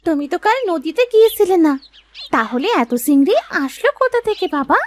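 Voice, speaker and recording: A woman's voice: extremely high pitch, big pitch swings, fairly quick, background noise. A woman playing a sweet, dutiful young village girl in a fairy-tale cartoon, coaxing and asking questions. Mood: curious. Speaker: cartoon voice actor. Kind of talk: Bengali moral fairy-tale cartoon acting.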